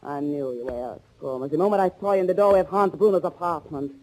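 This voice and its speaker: An actress playing a vengeful, bereaved bride with steely intensity, speaking in melodramatic, deliberate 1940s radio thriller style. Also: female voice, low-pitched, a little mellow, slight background noise, lightly acted, poor audio. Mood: cold, triumphant. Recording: old 1940s radio recording.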